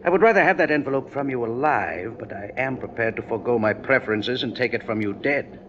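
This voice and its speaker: A man's voice: mid-range voice, animated, fast, a bit bright, lightly acted. An actor playing a polite, menacing gunman in a 1950s radio thriller, speaking in a formal, precise, coldly courteous way. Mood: cold menace. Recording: muffled, noisy 1950s radio recording.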